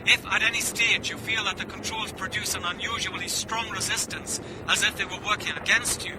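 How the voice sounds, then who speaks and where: An actor playing an authoritative flight controller in a radio drama, speaking formally with deliberate emphasis. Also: male voice, steady tone, close to an everyday voice. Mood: firm, instructing. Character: authoritative male flight controller giving careful emergency instructions. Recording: noisy, with aircraft rumble.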